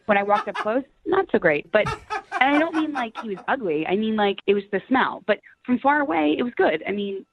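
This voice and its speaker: A woman's voice: a little high, very animated, a little fast. A woman calling in to a radio dating segment, chatting casually and bluntly over the telephone. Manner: candid, blunt. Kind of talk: casual phone conversation. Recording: thin phone line.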